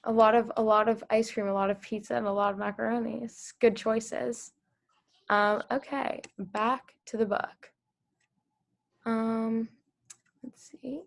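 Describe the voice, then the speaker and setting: A woman hosting a read-aloud for children over a video call, reading the story and asking them gentle questions. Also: a little high, flat delivery, measured pace, vocal fry. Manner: gentle, encouraging, with small restarts.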